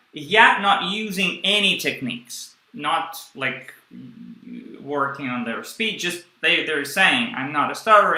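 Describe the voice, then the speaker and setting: A male interviewer asks long, careful questions, feeling his way with frequent fillers. His voice is somewhat high and very bright, and he speaks very slowly. His voice echoes in the room around him. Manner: slow, searching phrasing with many fillers.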